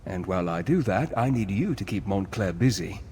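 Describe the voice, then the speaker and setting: Earnest fantasy commander, mid-range voice, stately and dramatic, with long reverb and slight background noise. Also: male voice, sits low, expressive, normal speed, darkish tone. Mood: solemn, reassuring. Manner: formal, solemn, dramatic. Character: noble, earnest male war leader and ally. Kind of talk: fantasy video game acting.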